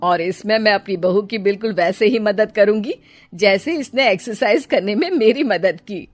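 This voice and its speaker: Woman acting a gentle older mother-in-law. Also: medium pitch, moderate pace, soft background music, lightly acted. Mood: encouraging.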